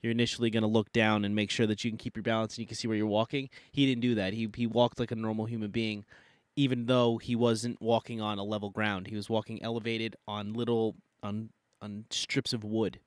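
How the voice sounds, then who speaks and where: A man's voice: on the low side, flat delivery, brisk, clear, resonant tone, no echo. A man co-hosting a live podcast, sounding nasal from a cold, talking casually with lots of fillers and restarts. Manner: rambling, many fillers and restarts. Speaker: podcast co-host. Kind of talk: casual debate chat.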